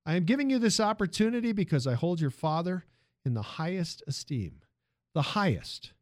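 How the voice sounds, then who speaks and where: A cold, commanding automobile tycoon, acted out by a male narrator. He demands obedience in emphatic, clipped phrases that rise and swing in pitch, as in a dry audiobook recording. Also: big pitch swings, normal speed, dry recording. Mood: cold, haughty.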